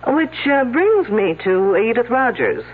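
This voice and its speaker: A sardonic, wisecracking detective. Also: female voice, fairly high pitch, very animated, unhurried, breathy edge, heavily acted. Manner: sardonic, needling. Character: wisecracking, confident female detective. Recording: tinny old radio broadcast.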